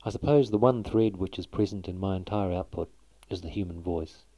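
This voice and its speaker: Deep, dark-voiced male narrator. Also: a little fast, no echo, low-quality recording. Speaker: composer narrating a documentary. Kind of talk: documentary narration.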